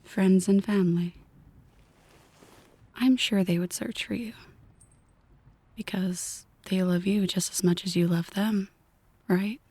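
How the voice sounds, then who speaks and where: A woman voicing a possessive yandere mafia boss in an ASMR roleplay, slow and half-whispered, mock-affectionate and coolly menacing. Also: medium pitch, steady tone, dark tone, breathy edge. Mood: teasing, menacing.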